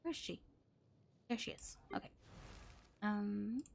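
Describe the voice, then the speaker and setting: A woman live streaming a video game, chatting casually with viewers and thinking aloud in an expressive, exclamatory way. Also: medium pitch, slow, gravelly, slight background noise. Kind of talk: casual chat with stream viewers while gaming.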